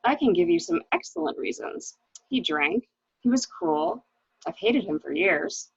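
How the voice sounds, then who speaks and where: A woman playing a cool, weary, bitter wife in a murder mystery reading, with a wry, deliberate, dramatic delivery on a lo-fi recording. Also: medium pitch, big pitch swings, creaky, husky, dry recording, exaggerated voice. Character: cool, weary, bitter wife who shot her husband. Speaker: actor in an amateur library reading.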